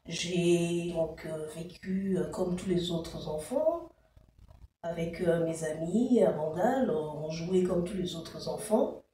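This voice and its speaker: A Congolese woman speaks slowly and carefully, pausing often on "euh" as she recalls her childhood. Her voice is low and mellow. It sounds distant, in a roomy, echoey space with a faint hum of ambience behind her. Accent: Congolese French. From Democratic Republic of the Congo. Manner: hesitant, frequent "euh" fillers. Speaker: show creator, interviewee. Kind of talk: slow, measured personal storytelling.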